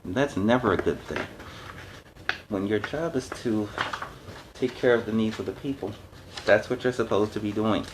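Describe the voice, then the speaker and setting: A man's voice: lowish voice, moderate pace, pauses often, noisy background. A man reading a storybook aloud as host, adding conversational, explanatory asides in a casual style.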